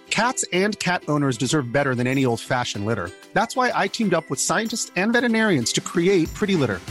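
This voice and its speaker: High voiced guy doing a founder ad pitch, lively, bit of music behind. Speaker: company founder, advertiser. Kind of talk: scripted advertisement pitch.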